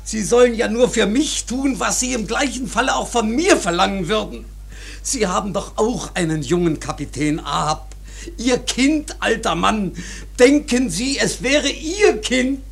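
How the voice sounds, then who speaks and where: A man playing a desperate sea captain and father in a German radio drama, imploring insistently in a strained, raspy voice. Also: high voice, measured pace, fairly bright tone, exaggerated voice. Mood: desperate pleading. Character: desperate ship captain, a father pleading for help.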